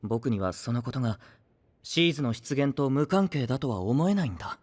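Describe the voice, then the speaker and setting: An actor playing a gentle, idealistic young knight who leads an alliance, speaking softly and earnestly in anime audio drama style. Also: male voice, medium pitch, animated, close to an everyday voice. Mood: pensive. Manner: soft-spoken, earnest, measured.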